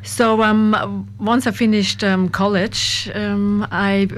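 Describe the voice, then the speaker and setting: A young woman from Austria with an Austrian accent, speaking softly and hesitantly as an interview guest. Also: medium pitch, little pitch movement, slow, slight background noise. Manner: soft-spoken, laughs often, hesitant with many ums. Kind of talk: interview conversation.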